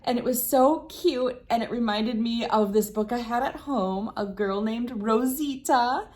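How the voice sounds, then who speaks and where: A woman hosting a read-aloud, narrating for children with expressive, deliberate phrasing. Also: on the high side.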